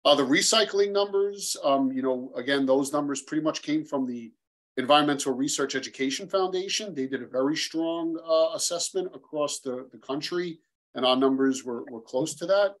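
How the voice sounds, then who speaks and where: A man's voice: a little high, moderate pace, rough recording. Man answering on a video call. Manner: explanatory, hesitant fillers. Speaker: panelist. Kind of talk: answering audience question. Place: home room, video call.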